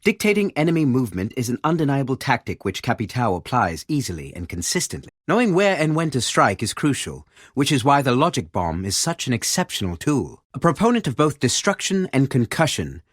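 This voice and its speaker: Erudite male briefing director, lively intonation. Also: medium pitch, no room sound, clean studio sound. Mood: composed, approving. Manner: formal, erudite, measured delivery. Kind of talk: scripted video game voice acting, analytical briefing.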